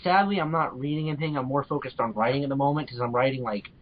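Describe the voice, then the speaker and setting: A man's voice: mid-range voice, fast talker. A man speaking as a guest in a casual podcast chat. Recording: dull, lo-fi podcast audio.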